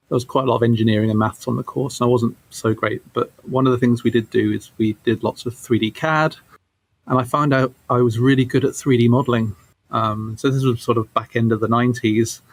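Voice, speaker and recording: Male interview guest, forties, mid-pitched, thoughtful and halting casual delivery, dry sound. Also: no echo. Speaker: guest, game studio creative director.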